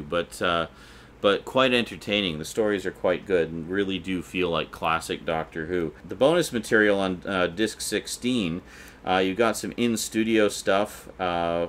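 Deep-voiced man, informal vlog host, slangy unscripted talk, clearly noisy room. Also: a bit bright. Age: middle-aged. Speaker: video host reviewing collectibles. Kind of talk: casual unscripted vlog review. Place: small indoor room with shelves.

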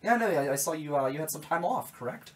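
A man's voice: fairly high pitch, animated, fast, slight background noise. A young man hosting a casual radio show in a small studio, talking loosely and repeating his words, his voice slightly strained. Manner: rambling, repeats words when starting sentences. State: slightly strained voice after nearly losing it. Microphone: studio mic on boom arm, with headphones.

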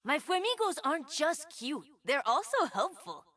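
Woman voicing an eager high-pitched young boy mage. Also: moderate pace, recorded from a distance. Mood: hopeful, earnest. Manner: excitable, earnest, childlike. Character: eager, sweet, boastful twelve-year-old boy fire mage. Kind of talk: video game character voice lines.

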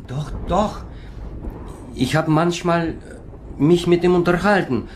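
An actor playing a guarded sailor who speaks halting, broken German in a radio drama. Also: male voice, a little high, a little hiss or hum. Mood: evasive. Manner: halting, clipped broken sentences.

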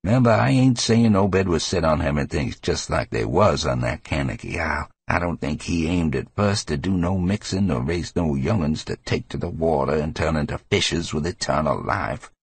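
A man voicing a hysterical, frightened old New Englander with a rasping voice and rambling rural dialect, in an audiobook horror story. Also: rumbling low, no room sound, full character voice. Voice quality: gravelly, husky old man's rasp. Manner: rambling folksy dialect, cackling and fearful. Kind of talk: dramatized audiobook character voice.

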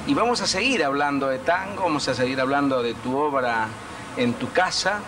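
Man, Rioplatense accent, TV host, measured, distant mic, noisy tape. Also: medium pitch, expressive. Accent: Rioplatense Spanish. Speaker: program host. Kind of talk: TV interview hosting. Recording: distant mic with noticeable noise on old video.

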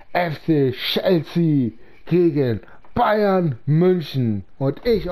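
A man with a slight cold narrates his own game match in a relaxed, halting way, leaving long pauses between short phrases. His voice sits a little high and his pace is very slow. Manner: slow, halting talk with many pauses and fillers. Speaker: gaming channel host. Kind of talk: casual gameplay commentary.

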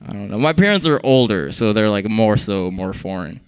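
A man's voice: lowish voice, expressive, a little fast, smooth. A young man from Iraq performing a wry stand-up set on a small club stage, holding a handheld mic. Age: young adult. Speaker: stand-up comedian.

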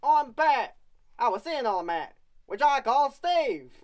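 A woman voicing an excitable, sarcastic young boy in a cartoon comedy. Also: high-pitched, slow, full character voice. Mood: excited. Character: excitable snarky young boy named Bat.

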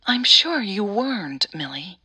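A woman voicing a calm, patient mother who gently soothes and advises her daughter in an audio drama. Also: medium pitch, measured pace, husky, exaggerated voice. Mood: soothing.

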